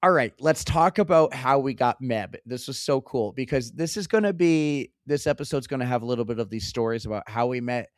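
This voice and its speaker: Hoarse man co-hosting a podcast. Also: mid-range voice, fairly quick, hardly any pauses, dry recording, clean studio sound. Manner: excitable, laughing, stumbling restarts. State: hoarse, losing his voice. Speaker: podcast co-host. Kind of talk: casual chat.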